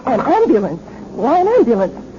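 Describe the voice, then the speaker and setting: Icy, high-voiced scheming villainess. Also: female voice, wildly swooping pitch, moderate pace. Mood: indignant. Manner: icy, imperious, clipped. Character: cold, scheming, haughty female murderess. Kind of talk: 1970s radio drama acting. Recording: dull, faintly noisy 1970s radio broadcast.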